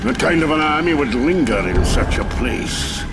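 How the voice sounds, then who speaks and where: A wary fantasy game adventurer. His gruff voice is raised and gravelly, swinging widely in pitch. Loud music plays behind. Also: expressive, normal speed. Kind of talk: dramatic fantasy game acting.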